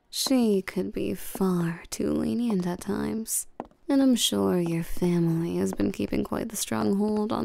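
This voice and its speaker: A woman playing a regal, seductive and domineering vampire queen in a scripted roleplay audio drama, coaxing and commanding the listener with soft menace. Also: mid-range voice, gravelly, slight background noise. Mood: gracious, flirty.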